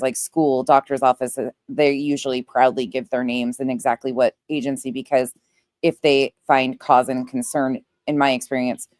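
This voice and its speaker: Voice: mid-range voice, darkish tone, no echo, clean studio sound. A livestream host chatting casually in a conversational, explanatory way.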